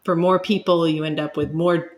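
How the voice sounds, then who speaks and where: A woman's voice: on the low side, fairly even intonation, normal speed, darkish tone, a little hiss or hum. A woman, speaking as an expert guest and explaining ideas conversationally while searching for words.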